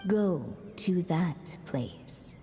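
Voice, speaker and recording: A woman's voice: on the low side, lively intonation, drawn-out words, warm tone. A woman playing a mysterious, solemn storybook narrator telling a fairy tale in a game. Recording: long reverb, faint background noise.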